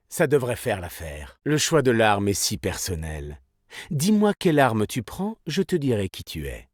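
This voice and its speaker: An elegant, self-admiring arms designer from a video game. His mid-pitched delivery swings with lively, theatrical inflection, polite on the surface and smoothly ironic underneath. The sound is dry and close, with no room around it. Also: dry recording. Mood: composed, confident. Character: suave, vain, elegant male weapons designer and agent. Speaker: voice actor for a game character. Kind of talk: video game character voice lines.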